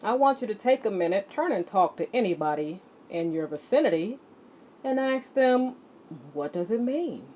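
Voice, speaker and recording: A woman teaching children as an exercise leader, speaking deliberately and emphatically, addressing her students directly. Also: mid-range voice, animated, warm tone. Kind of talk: instructional lesson to children, read-along with warm-up exercises. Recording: muffled audio with clear background noise.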